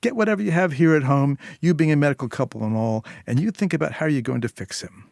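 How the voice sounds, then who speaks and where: Man voicing a menacing, taunting female intruder, pitched up. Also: fast talker, gravelly, darkish tone, no room sound, clean studio sound. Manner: taunting, bossy. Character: menacing, sarcastic, foul-mouthed female intruder.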